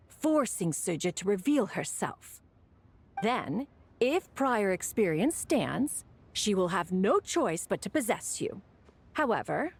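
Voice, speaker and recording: A woman's voice: mid-range voice, slightly rough, heavily acted. A woman voicing a proud, aloof immortal adept in a video game, speaking formally and haughtily. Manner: formal, haughty, refers to self as one. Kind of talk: game voice acting.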